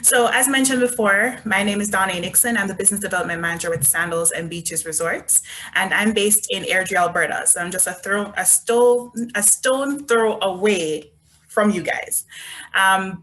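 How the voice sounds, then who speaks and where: A woman's voice: medium pitch, moderate pace, a bit bright, low-quality recording. A woman with a Jamaican accent, presenting resorts in an upbeat, chatty sales talk over a video call. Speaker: resort business development manager. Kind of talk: online travel presentation.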